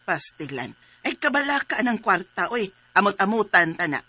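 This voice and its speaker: Worried exasperated mom in a radio drama, really sharp forceful voice, insistent. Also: female voice, medium pitch, sing-song, moderate pace, slightly rough, full character voice. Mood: fretful, practical. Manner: insistent, exclamatory. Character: concerned, exasperated mother urging treatment for family.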